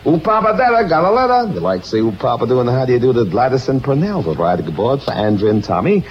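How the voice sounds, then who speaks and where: A hoarse man working as a radio disc jockey, rattling off showy rhyming slang patter on an old radio broadcast. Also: medium pitch, very animated, fast, a little hiss or hum. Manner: showy, rhythmic hipster slang. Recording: dull lo-fi vintage radio aircheck.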